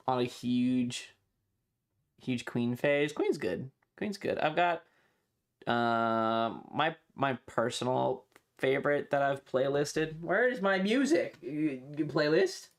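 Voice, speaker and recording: Casual livestreamer, opinionated and foul-mouthed, animated delivery, rambling chat over faint music. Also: medium pitch. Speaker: streamer.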